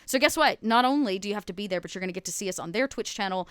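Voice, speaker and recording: A woman's voice: medium pitch, lively intonation, racing, smooth, no room sound, studio quality. A woman chatting playfully as she makes announcements on a casual live stream. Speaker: cast member making announcements.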